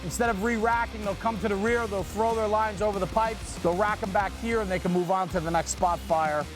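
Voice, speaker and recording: A man's voice: high voice, steady tone, a little fast, music in the background. A man hosting an equipment walkaround in a conversational, explanatory manner. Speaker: host presenting fire apparatus walkaround. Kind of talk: on-camera walkaround presentation.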